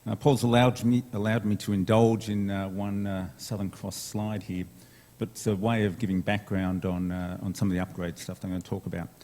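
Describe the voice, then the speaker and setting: A man from Sydney with an Australian accent, giving a relaxed conference presentation full of fillers. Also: on the low side, fairly quick. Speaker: conference speaker.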